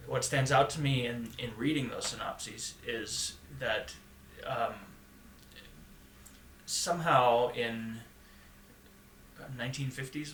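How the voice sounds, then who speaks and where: A man speaking in a thoughtful, halting and measured way as a panelist in a conversational podcast discussion. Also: medium pitch, a bit bright. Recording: echoey room, faint background noise.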